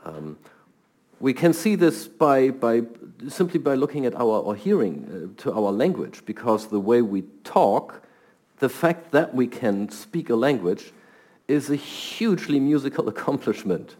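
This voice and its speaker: A man's voice: mid-range voice, sing-song, measured pace, darkish tone. A middle-aged man from Germany with a German accent, a scientist answering interview questions thoughtfully and often repeating words as he starts. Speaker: guest scientist.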